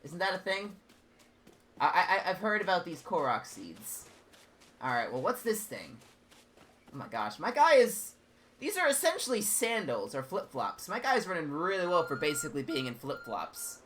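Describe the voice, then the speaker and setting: A man's voice: sits high, very animated, normal speed, a bit bright, a little hiss or hum. A man commenting on a game as he plays, chatty and rambling, often stumbling over and repeating his words. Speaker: gaming channel host. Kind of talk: let's play commentary.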